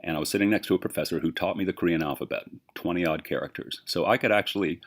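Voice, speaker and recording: A man's voice: low-pitched, very fast. A man teaching a class, lecturing with emphatic rhetorical questions.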